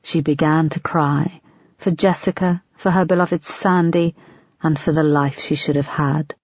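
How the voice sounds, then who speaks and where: Lowish woman voice reading a thriller, steady, kinda muffled and dead dry. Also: a little slow, no room sound. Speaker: audiobook narrator. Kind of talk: scripted narration.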